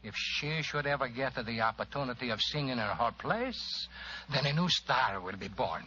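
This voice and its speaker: A courtly police inspector with an Italian accent, florid and effusive. His bright, highish voice swings widely in old radio drama fashion, on a dull recording with faint noise. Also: expressive, normal speed. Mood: fond, excited. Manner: formal, florid, hesitant politeness.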